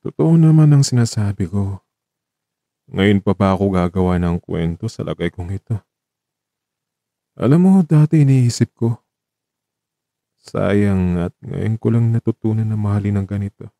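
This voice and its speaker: A man with a Filipino accent acting a gentle, dying young man in a radio drama, soft and wistful as he talks tenderly to his lover. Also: fairly low pitch, moderate pace, vocal fry, pauses often, no echo. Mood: tender, frail.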